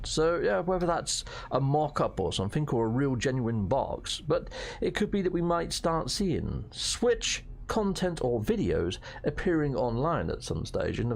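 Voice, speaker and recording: A hoarse British man chatting through a game as its host, jokey and fond of playful slang and mild swearing. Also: fairly high pitch. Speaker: gaming channel host. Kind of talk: casual gameplay commentary.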